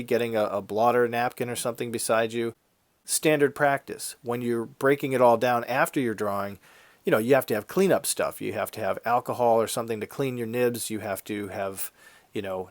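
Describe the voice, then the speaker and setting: Man with a stuffy, slightly raspy voice. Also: medium pitch, no room sound. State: congested from a head cold, slightly raspy. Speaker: YouTube art channel host. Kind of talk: casual conversational vlog narration.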